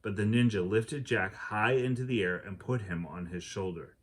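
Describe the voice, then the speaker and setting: A man with a fairly low voice reads a children's adventure book aloud. He tells it expressively and acts out the characters' lines as he goes. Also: moderate pace, husky. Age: adult. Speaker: teacher reading aloud.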